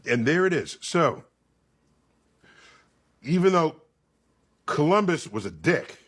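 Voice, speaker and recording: Animated male science explainer, husky voice. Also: a little high. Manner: emphatic, theatrical storytelling with dramatic pauses and check-in questions.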